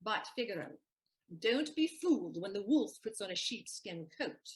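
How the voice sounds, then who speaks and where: An actress playing Susanna, a clever, teasing young maid, in a stage audio play, with a sharp, mocking wit. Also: female voice, medium pitch, sing-song, normal speed, close to an everyday voice. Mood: knowing.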